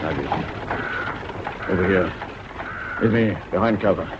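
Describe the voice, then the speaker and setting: An actor playing a deluded old-school English General with a clipped, barking military manner in a radio drama. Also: male voice, low voice, moderate pace, close to an everyday voice. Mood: urgent, conspiratorial. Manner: clipped, barking, repeats himself. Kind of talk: British radio drama acting. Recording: dull, hissy old radio recording.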